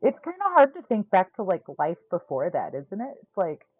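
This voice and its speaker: A woman's voice: medium pitch, huge pitch swings, moderate pace, no echo. A woman in her forties hosting a live tarot stream, chatting casually with viewers and laughing often. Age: 40s. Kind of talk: casual livestream chat. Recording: tinny phone-like sound.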